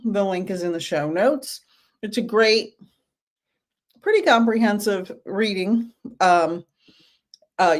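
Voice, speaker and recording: A middle-aged woman hosting a casual live-stream book club, her voice hoarse from teaching, greeting viewers by name as she talks. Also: medium pitch.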